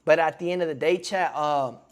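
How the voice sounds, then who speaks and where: A man's voice: a little high, brisk. A man in his twenties rambling in slangy casual commentary as he reacts on stream, constantly checking in with his chat. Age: 20s. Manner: slangy and rambling, keeps asking the chat "you feel me". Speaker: streamer reacting to a podcast clip.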